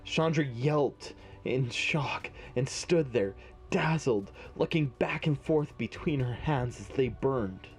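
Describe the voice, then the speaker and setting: A man narrating a scripted fantasy story in a measured, dramatic way. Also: medium pitch, faint music behind.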